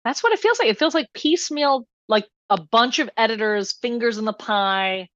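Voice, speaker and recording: A woman's voice: a little high, expressive, moderate pace, fairly bright tone, no echo, lo-fi sound. A woman with an American accent talking casually about films as a podcast co-host. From New Jersey, United States. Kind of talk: casual film discussion.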